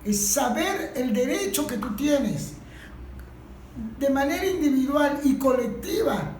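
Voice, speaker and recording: Venezuelan female, high voice, emphatic and halting, impromptu discussion remarks, echoey room. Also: lively intonation, a little mellow. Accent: Venezuelan Spanish. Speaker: industrial worker. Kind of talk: impromptu contribution to a group discussion. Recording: echoey room with faint background noise.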